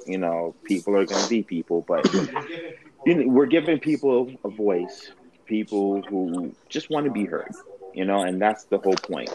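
A man's voice: deep voice, poor audio. A man from New York co-hosting a podcast in casual chat, joking and laughing easily. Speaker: podcast co-host.

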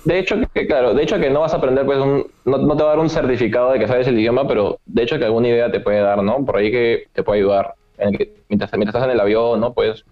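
A man in a casual chat about travel, speaking in a measured way and often tagging his sentences with a questioning "right?". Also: medium pitch, fairly even intonation. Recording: dull lo-fi sound.

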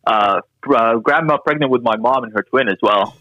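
A man's voice: medium pitch, brisk, sharp tone, dry recording, lo-fi sound. Podcast guest: young man from Syria, dry wit, very close dry sound. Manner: dry, joking storyteller. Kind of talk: casual chat.

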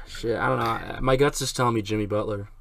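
A man's voice: lowish voice, steady tone, moderate pace, vocal fry, slight background noise. A young man co-hosting a sports talk show in a casual, easygoing, hesitant way. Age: young adult. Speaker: sports podcast co-host, student. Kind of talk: casual chat.

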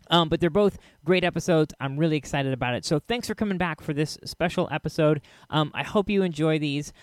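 Dry-recorded, slightly high-voiced male podcast host. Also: dry recording. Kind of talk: casual introduction to an old radio show.

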